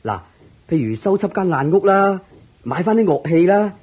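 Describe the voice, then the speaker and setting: An actor with a Hong Kong Cantonese accent playing an excitable, poor young musician in a radio drama. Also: male voice, high voice, normal speed, husky, muffled recording, exaggerated voice. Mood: excited. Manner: eager, astonished exclamations.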